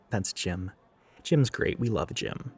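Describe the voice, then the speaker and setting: A man's voice: deep voice, big pitch swings, takes it slowly, a little mellow, slightly rough, dry recording, heavily acted. A man playing a chatty, sarcastic, gender-ambiguous retail coworker who goes by she, in a casual, rambling audio roleplay addressed to the listener. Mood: wry. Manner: rambling, sarcastic, flippant, with sighs and chuckles.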